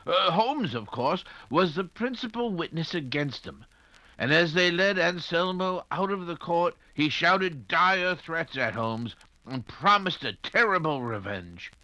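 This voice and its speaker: An actor playing a genial, flustered English doctor who tells stories hesitantly in the manner of 1940s radio drama. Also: male voice, a little high, animated, a little slow, bright, forceful tone, no room sound. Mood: dramatic storytelling. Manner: hesitant, fussy, avuncular. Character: genial, flustered English doctor narrating his detective friend's adventures.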